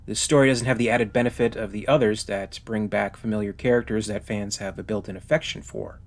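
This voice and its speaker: A man narrates a scripted ranking review as its host. His voice sits at a medium pitch, and his delivery is measured and articulate, with formal phrasing.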